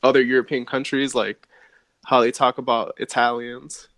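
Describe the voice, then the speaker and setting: A male guest from the Dominican Republic explains his views calmly. He sounds a little sleepy. His voice is recorded dry, with no room echo. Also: medium pitch, normal speed. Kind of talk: casual discussion.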